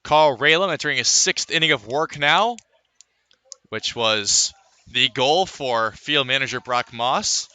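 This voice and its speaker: A man's voice: mid-range voice, lively intonation, a little slow, pushed and bright, clean tone, dry recording. A man calling live baseball play-by-play for radio, in clipped phrases with dramatic calls.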